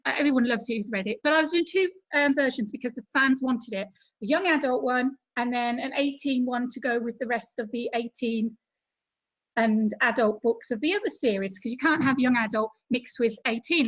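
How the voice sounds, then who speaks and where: Chatty British woman with a high voice. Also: a bit bright, low-quality recording. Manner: chatty, laughs easily, many fillers. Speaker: guest author. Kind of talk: casual interview over video call.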